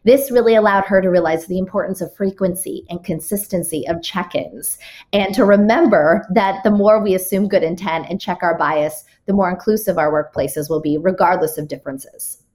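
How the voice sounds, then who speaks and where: A woman's voice: fairly low pitch, normal speed, a little mellow. A woman author, a guest on a podcast, laying out her rules point by point and backing each with a story. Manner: structured, explains with examples and numbered rules; occasional restarts and fillers. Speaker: author and keynote speaker, guest. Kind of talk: podcast interview answers.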